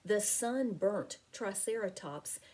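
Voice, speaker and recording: Slow, expressive woman reading a picture book. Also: medium pitch, slightly rough. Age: middle-aged. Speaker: teacher reading aloud to children. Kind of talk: picture book read-aloud. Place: small home room with window blinds.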